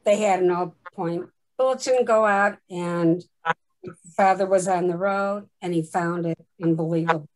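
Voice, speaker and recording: A woman's voice: fairly low pitch, fairly even intonation, unhurried, darkish tone, low-quality recording. A woman telling a personal story in plain conversational speech on a group video call.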